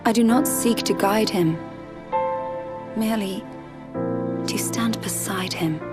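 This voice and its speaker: A woman plays a devoted young noblewoman in a video game. She speaks slowly and formally, in a gentle manner, over loud music. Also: medium pitch, lightly acted. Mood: tender, earnest. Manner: measured, formal.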